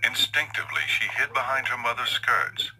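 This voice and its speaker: A man's voice: medium pitch, big pitch swings, moderate pace, a loud crowd, poor audio. A man reading a scripted story as narrator in a dramatic storytelling style, with music underneath.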